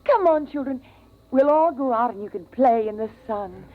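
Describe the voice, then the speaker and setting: An actress playing a fragile, distraught mother with an American accent in a 1950s radio drama, halting and dreamy as she clings to memories. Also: a little high, expressive, close to an everyday voice. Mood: wistful, yearning. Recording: muffled, tinny vintage radio recording.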